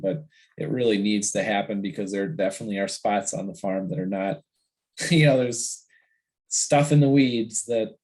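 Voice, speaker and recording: A man speaking informally at a volunteer meeting in an earnest, halting way. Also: lowish voice, a little mellow, low-quality recording.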